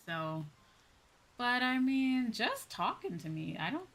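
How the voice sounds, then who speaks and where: A woman's voice: on the high side, sing-song, takes it slowly, fairly bright tone, faint music behind, low-quality recording. A woman livestreamer chatting casually with viewers while gaming, thinking aloud in a rambling way and often trailing off. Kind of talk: casual chat with viewers while streaming a game.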